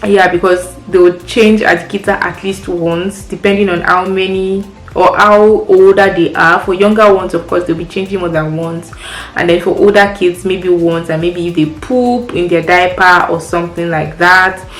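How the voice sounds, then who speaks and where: Young woman, chatty vlogger, low-pitched voice, roomy echo, faint music behind. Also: fairly even intonation, moderate pace. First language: Yoruba. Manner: chatty, friendly, practical tips. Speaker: parent vlogger. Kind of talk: casual advice vlog. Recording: echoey room with faint music.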